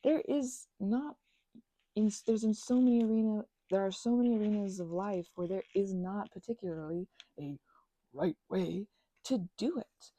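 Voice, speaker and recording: Mellow, husky woman rambling casually. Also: mid-range voice, moderate pace, dry recording. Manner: meandering, trails off into asides. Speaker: ASMR and audio channel creator. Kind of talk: rambling casual chat to listeners.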